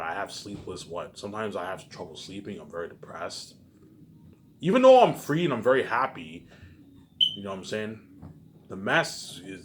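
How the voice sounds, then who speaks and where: Casting: male vlogger, low voice, loose repetitive monologue with emphatic bursts and muttered endings, some background noise. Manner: frequent swearing. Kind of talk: rambling casual monologue. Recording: clearly noisy background.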